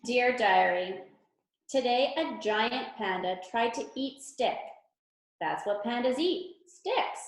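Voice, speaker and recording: A woman's voice: fairly high pitch, lively intonation, slow pace. Woman playing a grumpy stuffed toy, echoey room. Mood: exasperated. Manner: sardonic, exasperated. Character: Huggie, a grumpy, long-suffering, sarcastic stuffed toy bear. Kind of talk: children's storybook read-aloud.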